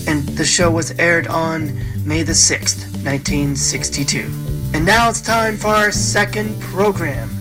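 A woman's voice: low voice, unhurried, rough recording. A woman presenting an old-time radio programme with scripted introductions. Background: clear music.

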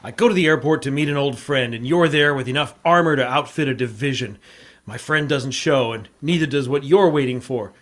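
An actor playing a tough, dogged reporter in an old radio drama, terse and insistent in narration and dialogue. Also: male voice, medium pitch, a little fast, a bit bright, close to an everyday voice. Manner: terse, hard-boiled, insistent. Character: tough, dogged male newspaper reporter O'Hara.

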